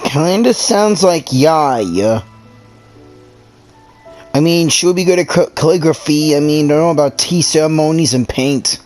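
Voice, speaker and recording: A man's voice: a little high, expressive, measured pace, a little mellow. Casual gamer man reacting over game music. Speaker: gaming streamer. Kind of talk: casual playthrough commentary.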